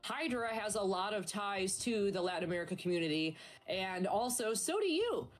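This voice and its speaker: A woman's voice: medium pitch, animated, normal speed. A woman casting an esports stream, chatting in a bubbly, upbeat way with her co-caster. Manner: bubbly, chatty, promotes merch. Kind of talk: casual esports stream commentary.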